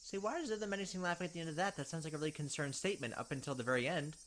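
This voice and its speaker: Brief: quick-talking man reading a visual novel and joking about it. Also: fairly high pitch, heavy background noise. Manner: animated, wry asides between narration. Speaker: let's play host. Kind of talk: reading game text aloud and commenting. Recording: game audio with loud cricket ambience.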